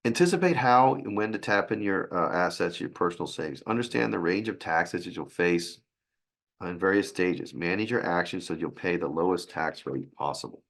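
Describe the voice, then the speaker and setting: A man with a raspy, hoarse voice from a sinus infection, presenting a financial webinar in a halting way. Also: deep voice, lively intonation, a bit bright. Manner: halting, with restarts and fillers.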